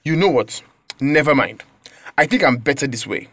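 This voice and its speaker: A man's voice: mid-range voice, expressive, moderate pace, fairly bright tone, no echo. A man playing a betrayed, hot-tempered young friend with a Nigerian accent, confrontational and exclamatory in a radio drama. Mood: angry.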